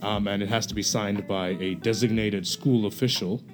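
A man's voice: fairly low pitch, steady tone, moderate pace, music in the background. A young man from Bangladesh, a podcast guest, explaining things casually with many hesitations and fillers.

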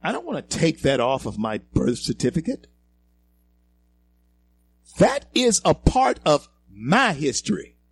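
Slow gravelly man. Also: fairly high pitch, huge pitch swings. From Louisiana, American South. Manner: slow, halting delivery with many fillers and repeated words, rising to emphatic peaks. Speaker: talk show host. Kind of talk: radio talk show monologue.

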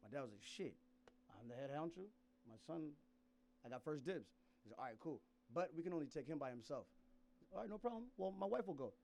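A man's voice: a little high, lively intonation, brisk, vocal fry, darkish tone. A man from South Sudan telling a rambling personal story in casual chat, laughing often and restarting his sentences.

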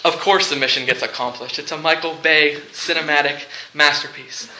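A man preaching a sermon to a room, speaking in short, deliberate phrases with rhetorical repetition and some humour. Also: fairly high pitch, steady tone, sharp tone, a little hiss or hum. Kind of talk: sermon with movie illustrations and humour. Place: live talk in a large room before listeners. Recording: echoey room.

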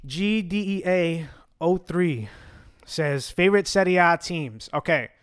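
A man's voice: sits high, measured pace, clean tone, dry recording, studio quality. Chatty young man with a slight stutter. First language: Italian. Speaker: host answering fan questions. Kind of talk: casual Q&A chat.

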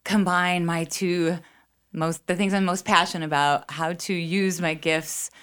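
A woman's voice: lowish voice, steady tone, unhurried, a bit bright, clean tone. A middle-aged American woman from Connecticut, chatting casually and earnestly as a guest musician. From Connecticut, later Southern California. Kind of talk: casual chat. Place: music studio.

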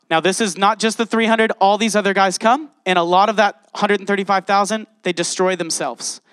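A man with a high voice preaches a sermon in a church. He reads scripture aloud and turns to the congregation with passion. The sound is close and dry, with no room echo. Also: fairly bright tone, clear, resonant tone. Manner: passionate, addresses congregation directly. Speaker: pastor. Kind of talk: sermon with Bible reading.

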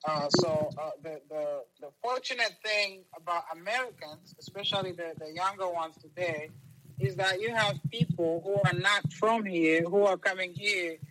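Bright, high-voiced man from Zambia. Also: low-quality recording. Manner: halting, many restarts and fillers. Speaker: guest. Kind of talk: interview answers.

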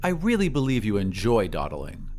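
A man voicing an irritable, hurried mother in an audio drama, exclaiming impatiently. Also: lowish voice, huge pitch swings, darkish tone, soft background music. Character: irritable, impatient mother, swaddled and pale, devoted to machine.

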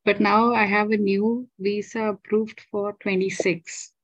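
A woman's voice: mid-range voice, level tone, unhurried, no echo. A woman from India calling in, asking questions in a conversational way. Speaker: caller. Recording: dull, dry call audio.

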